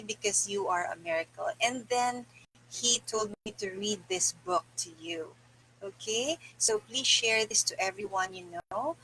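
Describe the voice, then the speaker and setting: A woman's voice: mid-range voice, a bit bright, slight background noise, rough recording. A middle-aged woman reading aloud for a live stream, with casual asides to her viewers. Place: small indoor room with bare wall.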